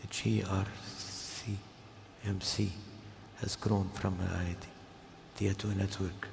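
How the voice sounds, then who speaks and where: An older man from Jordan giving a formal speech at an event, slow and measured, with pauses. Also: sits low, level tone, gravelly, a little hiss or hum. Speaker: guest speaker. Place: large hall. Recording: echoey hall, heard from a distance.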